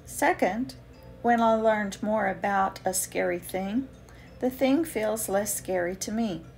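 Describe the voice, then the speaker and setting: A woman reads a picture book aloud at a slow, unhurried pace. Her pitch sits in an average range for a woman, and her phrasing is expressive and deliberate, suited to young listeners. Soft music plays faintly behind her. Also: creaky. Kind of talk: read-aloud children's storytelling.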